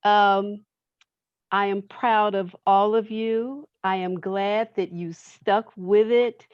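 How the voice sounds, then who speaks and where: A woman offering earnest congratulations on a video call, deliberate and repeating phrases for emphasis. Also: mid-range voice, steady tone, clear, resonant tone. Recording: dull, roomy video call audio.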